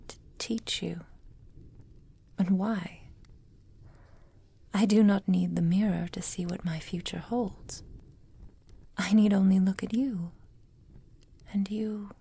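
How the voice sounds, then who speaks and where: A woman playing a possessive, manipulative witch lover in an intimate ASMR roleplay, whispering in a formal, measured, softly controlling way. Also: medium pitch, fairly even intonation, full character voice. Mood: tender, coy. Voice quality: breathy, mostly whispered.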